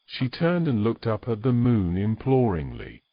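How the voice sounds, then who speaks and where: A man's voice: lowish voice, wildly swooping pitch, unhurried, dry recording, lo-fi sound. A man reading scripted narration for a romantic fantasy story as its narrator.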